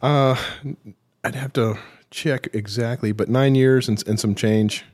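A man being interviewed as a military veteran, telling his story haltingly with self-deprecating, dry humour. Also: mid-range voice, steady tone, darkish tone. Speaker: podcast guest, military veteran.

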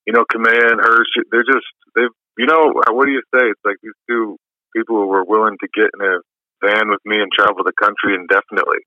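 A man with a low voice, giving easygoing, rambling interview answers full of fillers. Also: little pitch movement, quick pace, no echo. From New York. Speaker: musician guest. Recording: dull lo-fi dry sound.

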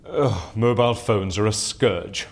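An actor playing an exasperated, sardonic fixer of faked deaths in an audio drama, with a theatrical manner. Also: male voice, on the low side, a little slow. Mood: dry, composed. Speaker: fixer who stages fake deaths. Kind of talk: audio fiction acting.

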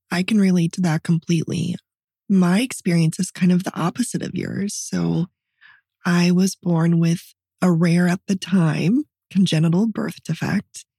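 A woman with a strongly raspy, rather low voice tells personal stories on a podcast. Her tone is dark and mellow, and her delivery is candid and reflective, in a conversational style. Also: dry recording, clean studio sound. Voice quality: raspy voice from partly paralyzed vocal cords. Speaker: podcast co-host. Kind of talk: personal storytelling in casual podcast chat.

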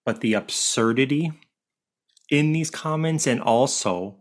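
A man who works as a grief coach, telling stories in a relaxed, chatty way in a podcast interview and laughing easily. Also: mid-range voice, measured pace. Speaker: grief coach and co-author guest.

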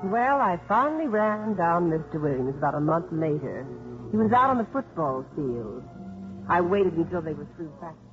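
A woman's voice: fairly low pitch, sing-song, normal speed. A woman playing a prim, composed court officer who narrates and talks in a 1950s radio drama. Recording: muffled old radio recording, music behind.

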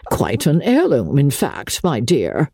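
Woman acting shrill, teasing old matriarch. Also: huge pitch swings, slow pace, warm tone, breathy edge, no room sound, clean studio sound, full character voice. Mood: amused, approving. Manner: chuckling, exclaiming, bluntly teasing. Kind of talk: dramatic audiobook character reading.

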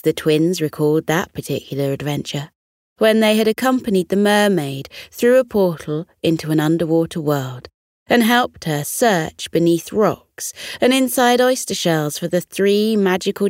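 Female bedtime story host: lowish pitch, animated, expressive scripted delivery, careful diction. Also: a little slow, dry recording, studio quality.

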